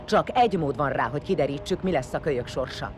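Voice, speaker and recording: An actor in an audio drama playing a vengeful, bloodthirsty warrior leader who sneers, swears and barks orders. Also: male voice, on the high side, expressive, music in the background, natural voice. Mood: scornful. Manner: sneering, foul-mouthed, barking orders.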